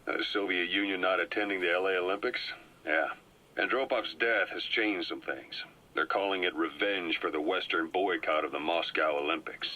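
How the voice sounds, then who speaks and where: An actor playing a calm, well-informed agent who briefs a comrade in a measured, explanatory way. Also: male voice, deep voice. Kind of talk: video game cutscene voice acting. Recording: muffled cassette tape audio.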